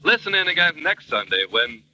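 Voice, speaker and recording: A man's voice: on the high side, big pitch swings, fairly quick, sharp tone, full character voice. A man as a polished, wry announcer narrating old-time radio comedy, on a muffled, noisy vintage recording.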